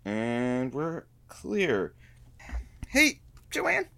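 A man's voice: mid-range voice, huge pitch swings, drawn-out words, vocal fry, sharp tone, no echo, full character voice. A man playing a teasing, wry radio producer and sidekick, bantering casually in an audio drama. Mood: businesslike.

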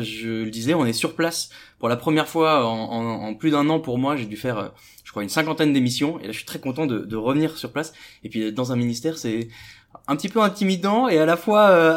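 A man's voice: on the high side, animated, brisk. A man hosting an interview podcast on site in a ministry office, asking questions in a casual, chatty way. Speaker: podcast host.

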